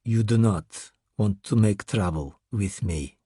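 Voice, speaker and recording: A man doing a cold, deep Russian-accented brute. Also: slightly rough, no echo, studio quality. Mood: cold menace. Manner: slow, calm, quietly threatening. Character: big menacing masked male sorcerer, cold.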